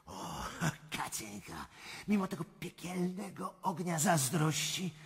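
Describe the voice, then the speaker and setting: A jealous princely lover in a radio play, passionate and theatrical. His very husky, creaky voice swings widely in pitch, with long hall reverb. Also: very animated, slow pace, heavily acted. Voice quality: husky, gravelly. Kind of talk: theatrical radio drama acting.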